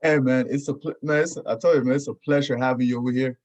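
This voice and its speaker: A man's voice: medium pitch, steady tone, fast talker, darkish tone. A man hosting a podcast in casual chat, often repeating his phrases. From Houston area, United States. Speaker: podcast host. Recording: dull, lo-fi audio.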